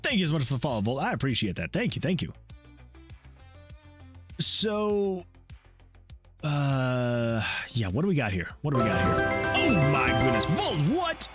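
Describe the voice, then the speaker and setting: A man's voice: medium pitch, wildly swooping pitch, a little slow, long pauses, over music. A man streaming his indie game development, chatting in a loose, effusive way and often repeating his praise. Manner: effusive, rambling, repeats himself. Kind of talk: casual livestream chat.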